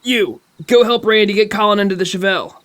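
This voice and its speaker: A man voicing an angry, bossy young fraternity president in a horror audio drama, shouting orders with hostility. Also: sits high, fairly quick, voice pushed up. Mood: angry, commanding. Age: young adult.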